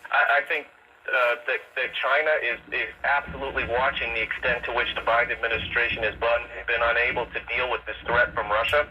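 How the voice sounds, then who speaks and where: An American man giving analysis as a radio interview guest, halting and repeating words as he explains, heard over AM radio. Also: medium pitch, flat delivery. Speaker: guest national security analyst. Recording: dull, noisy AM radio reception.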